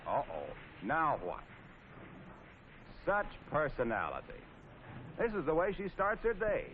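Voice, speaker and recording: A man's voice: on the high side, huge pitch swings, a little slow. An American man narrating in 1940s training film style, wry, folksy and playfully sarcastic. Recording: scratchy, tinny 1940s film soundtrack with noise.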